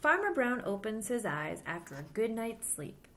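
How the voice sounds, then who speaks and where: A woman in her thirties reading a children's picture book aloud, with simple, deliberate phrasing for young listeners. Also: on the high side, very animated, noisy background. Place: small plain-walled room indoors.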